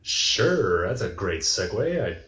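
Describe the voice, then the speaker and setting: A man's voice: deep voice, very animated, takes it slowly, bright, forceful tone. A man chatting casually in a group call, speaking as one of the panelists. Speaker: voice actor, reaction panelist. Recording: roomy, echoey voice call.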